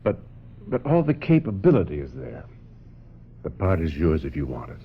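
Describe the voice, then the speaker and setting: An actor in a radio drama playing a suave, domineering film director who persuades with repetitions and little tag questions. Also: male voice, a bass voice, huge pitch swings, moderate pace, husky, exaggerated voice. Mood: persuasive, passionate. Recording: dull, noisy old radio recording.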